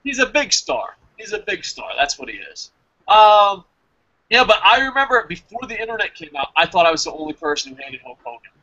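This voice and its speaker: A man's voice: sits high, very animated, fairly quick, sharp tone, highs cut off. An American man chatting casually and emphatically about his opinions as a review show host, swearing freely. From New Jersey, United States.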